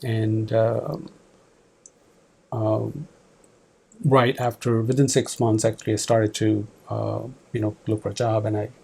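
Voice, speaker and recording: Halting guest from Pakistan, flat husky voice. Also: male voice, lowish voice. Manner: halting, many fillers and restarts. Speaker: guest, department manager. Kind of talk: casual interview answers. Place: office.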